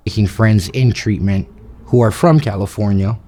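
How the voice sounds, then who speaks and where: Low-voiced man in his thirties, matter-of-fact. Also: normal speed, slight background noise. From Hawaii. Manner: steady, matter-of-fact storytelling. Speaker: interview subject. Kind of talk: recounting his life story.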